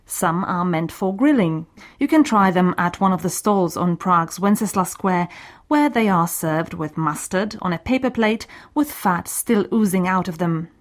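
A woman hosting a scripted radio language course, explaining things in a clear teaching manner. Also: lowish voice, lively intonation, normal speed.

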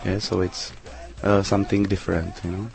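A man answering interview questions in halting English, full of fillers and restarts. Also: low voice, flat delivery, gravelly, darkish tone, faint music behind, rough recording. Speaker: interview guest, DJ.

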